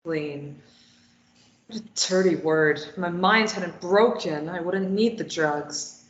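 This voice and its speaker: A woman's voice: lowish voice, takes it slowly. A woman voicing a guilt-ridden, grieving former addict in a table read of a stage play, speaking in a bitter, subdued, self-blaming way. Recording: dull echoey room sound.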